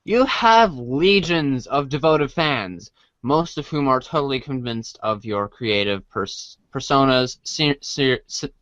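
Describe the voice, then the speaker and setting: A man narrating a storybook read-along as co-host, reading haltingly with stumbles, on a dry, slightly dull recording. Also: medium pitch, animated, fairly bright tone, no room sound.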